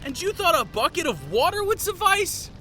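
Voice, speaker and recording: A man's voice: very high-pitched, sing-song, normal speed, music in the background. A man playing a wry, sarcastic young knight in a fantasy audio drama, exclamatory and strained. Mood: exasperated.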